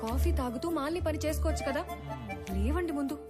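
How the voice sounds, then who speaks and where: A woman's voice: sits high, fast talker, natural voice. Insistent caring young wife, played by a woman. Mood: insistent, brisk. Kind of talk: Telugu film drama dialogue. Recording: film soundtrack with very loud music.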